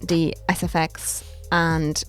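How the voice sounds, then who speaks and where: A woman with a slightly low voice chats casually as a podcast co-host. There is faint music behind her. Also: flat delivery, slow pace. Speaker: podcast co-host, executive producer. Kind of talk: casual chat.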